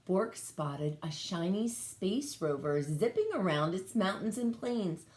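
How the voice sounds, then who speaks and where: A woman reading a picture book aloud as a teacher, pausing to explain and put questions to young children. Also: on the low side, expressive, a little mellow. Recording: echoey room sound.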